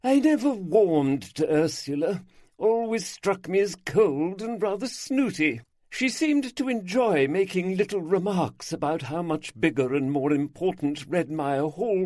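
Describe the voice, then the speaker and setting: A man voicing a brisk, gossipy older aunt. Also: no room sound, clean studio sound. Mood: chatty. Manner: lively, raised pitch, gossipy.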